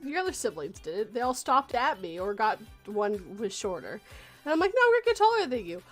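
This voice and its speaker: A woman's voice: medium pitch, very animated, brisk, soft background music. A woman hosting a casual livestream chat, teasing her guests playfully.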